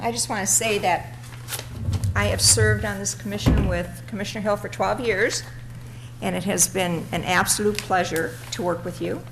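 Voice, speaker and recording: A woman with a raspy voice chairing a city commission meeting in a friendly, chatty way. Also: mid-range voice, steady tone, normal speed. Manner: friendly, chatty, laughs between remarks. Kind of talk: chairing a public meeting, casual remarks. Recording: noisy public meeting room recording.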